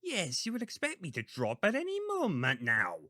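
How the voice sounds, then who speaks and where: A man's voice: high voice, huge pitch swings, moderate pace, pushed and bright, no echo, clean studio sound, heavily acted. A man playing a pompous, indignant elderly priest in a theatrical audio roleplay, sardonic and histrionic. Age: older.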